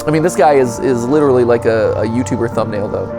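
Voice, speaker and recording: A man's voice: mid-range voice, fast. A man doing casual reaction commentary, reading on-screen text aloud and adding sarcastic, joking asides and exclamations. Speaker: reaction video host. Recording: music behind the voice.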